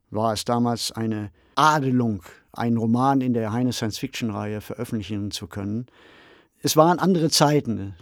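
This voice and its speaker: A man's voice: medium pitch, a little mellow, no room sound, clean studio sound. A man speaking as a podcast expert, giving conversational commentary in full, explanatory sentences.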